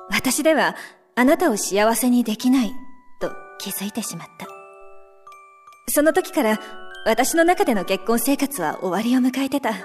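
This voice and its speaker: A woman acts a self-effacing but resolute wife in a radio drama. She speaks formally and with composure in a high, feminine voice, with soft music underneath. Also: close to an everyday voice. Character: resolute, self-effacing wife reading her farewell letter.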